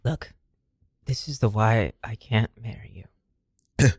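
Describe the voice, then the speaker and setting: A woman's voice: a bass voice, slow, a little mellow, slightly rough, no echo, studio quality, pitched below the performer's own voice, full character voice. A woman playing an exasperated, practical frontier woman, weary and scolding, in improvised comedy. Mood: firm refusal.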